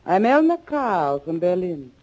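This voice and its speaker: An actress playing a determined German underground agent in a 1940s radio thriller, speaking in measured, formal phrases. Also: female voice, lowish voice, sing-song, darkish tone. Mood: firm, resolute. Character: German underground woman recounting a vengeance mission, grave and determined. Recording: muffled 1940s radio recording.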